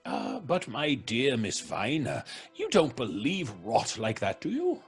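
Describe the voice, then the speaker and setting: British gentleman, scoffing and jovial, slow drawl, very animated, old-time radio drama, music behind. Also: mid-range voice, vocal fry, heavily acted. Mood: amused scoffing. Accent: British English. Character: jovial, scoffing English gentleman sceptic at cards.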